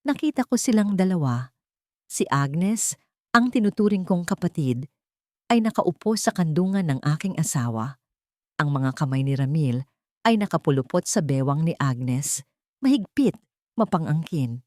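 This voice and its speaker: A woman's voice: low-pitched, lively intonation, moderate pace, pauses often, warm tone, dry recording, studio quality. A woman reading a scripted first-person romance drama as narrator, in a solemn, emotive storytelling manner.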